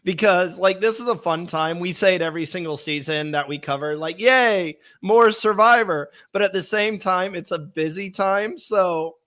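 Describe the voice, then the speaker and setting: A hoarse young man hosts a live podcast in a bright, fairly high voice. He stays chatty and upbeat and reads viewer comments aloud. The tone is forceful even while his voice is still worn from illness. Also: normal speed, few pauses. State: hoarse, recovering lost voice. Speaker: podcast host.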